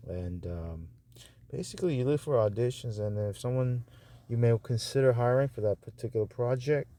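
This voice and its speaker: A man hosting a casual review of voice-over auditions, speaking in halting, repetitive asides as he goes. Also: mid-range voice, mellow, slight background noise. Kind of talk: casual screen-recording commentary.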